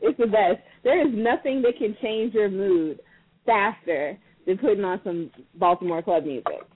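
A sarcastic man from Maryland talks as a guest on a call-in show, animated and quick to laugh. His voice has a husky edge. It sounds dry and tinny, like a phone line. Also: high-pitched, no echo. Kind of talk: casual call-in talk show chat.

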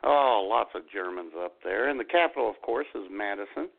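A man's voice: lowish voice, animated, brisk. A man co-hosting a podcast with casual banter and a wry, joking manner. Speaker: co-host of a comedy podcast. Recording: tinny phone-like internet radio audio.

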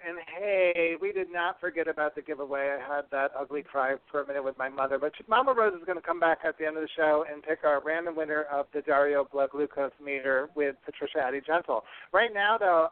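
Higher-pitched man hosting over a phone-like line. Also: clear, resonant tone, no room sound. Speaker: podcast host. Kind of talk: casual, chatty interview hosting. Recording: narrow phone-like sound.

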